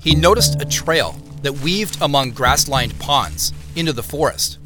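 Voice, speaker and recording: An actor playing a storyteller, narrating an audio drama in a measured, scripted way. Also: male voice, on the high side, expressive, over music. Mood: measured, mysterious. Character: storyteller recounting clues and an eerie boyhood tale.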